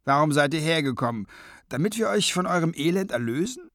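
A man acts out a sorcerer standing guard in a storybook, disgusted and dismissive. The voice is a little raised and lively, with a blunt, sardonic edge. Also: a little high, fairly quick, dry recording, clean studio sound, voice pushed up. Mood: scornful.

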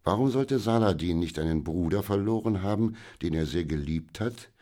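A man voicing a wise, calm older merchant and gentle father in a children's audiobook, speaking in a soothing, measured way. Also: low voice. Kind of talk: audiobook character voice.

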